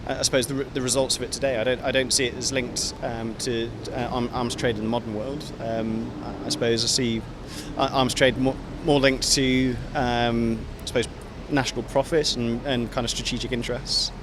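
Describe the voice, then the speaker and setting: A passer-by answers haltingly, full of "um"s and restarts. He stands on a city street with loud traffic behind him. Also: mid-range voice, level tone. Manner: halting, many fillers and restarts.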